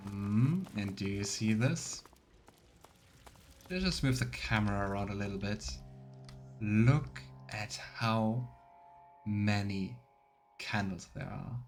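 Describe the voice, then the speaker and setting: Low-voiced man musing over a game. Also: slow, pauses often, faint music behind. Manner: musing, thinking aloud. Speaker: streamer, let's play host. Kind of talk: live game commentary, casual chat.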